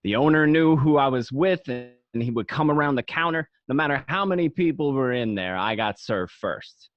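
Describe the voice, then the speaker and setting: A man playing a cocky young wise guy looking back on his mobster youth in an amateur radio play, talking colloquially and a little haltingly. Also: medium pitch, expressive, no echo, lo-fi sound, natural voice. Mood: proud. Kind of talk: first-person narration in an amateur radio play.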